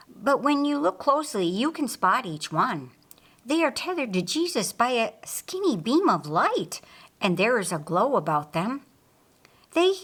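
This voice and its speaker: A woman voicing an observant, precise older uncle, deliberate in his audiobook dialogue. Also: fairly high pitch, a bit bright, exaggerated voice. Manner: deliberate, counting and pointing out.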